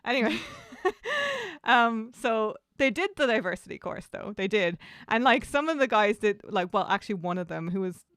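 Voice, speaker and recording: A woman hosting a casual livestream interview, chatty and animated, with frequent laughs and fillers. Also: on the high side, normal speed, no echo, studio quality.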